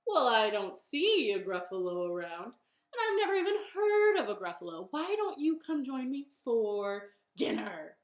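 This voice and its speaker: A woman's voice: fairly high pitch, big pitch swings, measured pace. A woman voicing a hungry male owl in a children's story, theatrical and exclamatory, easily scared. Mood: coaxing. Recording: roomy, echoing sound.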